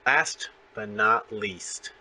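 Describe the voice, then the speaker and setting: A man's voice: fairly low pitch, extremely slow, bright, forceful tone, rough recording. A man hosting an interview in casual fan chat, talkative and full of opinions. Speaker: interview host.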